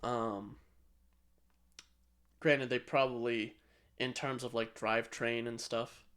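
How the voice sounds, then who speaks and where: A man's voice: medium pitch, flat delivery, slow, a bit bright. A man co-hosting a podcast, chatting casually and giving opinions in a blunt, sweary way. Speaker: podcast co-host.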